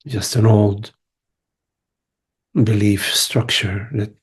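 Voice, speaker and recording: A man leading a guided meditation as a teacher, speaking gently in short phrases with long pauses. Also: fairly low pitch, steady tone, husky. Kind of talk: guided meditation, spiritual talk.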